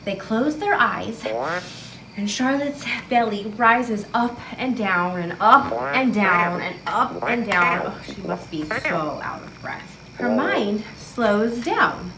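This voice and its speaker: Lady doing kids story time, super swoopy sing-song voice, slow, chatty asides, echoey room w background noise. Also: medium pitch. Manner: expressive, sing-song, childlike reactions and drawn-out words. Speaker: children's story-time host and reader. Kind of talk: picture book read-aloud with chatty asides to children and a puppet.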